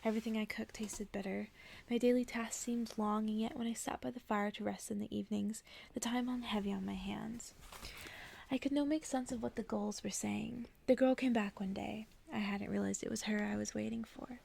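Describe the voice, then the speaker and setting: A woman reads a bedtime story in a soft, very creaky voice with a dark, mellow tone. Her delivery stays even and hushed, often drifting into whispers in ASMR style. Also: a little high, normal speed, a little hiss or hum. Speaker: ASMR reader.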